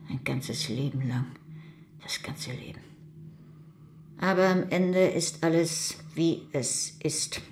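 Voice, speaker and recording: A woman's voice: low voice, slow, long pauses, slight background noise. A woman playing a hardened older mother in a radio crime drama, speaking with cold, reproachful severity. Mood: bitter, self-pitying.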